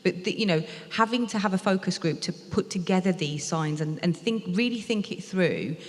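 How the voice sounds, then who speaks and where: A low-pitched woman interprets a lecture into speech, measured and explanatory, pausing on the odd filler. Her voice carries with a long reverb from a lecture hall at a museum event. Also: lively intonation. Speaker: sign language interpreter voicing a deaf speaker. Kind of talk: interpreting a design talk aloud.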